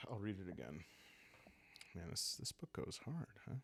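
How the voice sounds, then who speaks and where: A man speaking softly and calmly, improvising a story for a solo roleplaying game and thinking aloud hesitantly. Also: sits low, creaky, in a whisper. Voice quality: soft, calm. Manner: hesitant, thinking aloud with long pauses and sighs. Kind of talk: calm unscripted storytelling for sleep, journaling game playthrough.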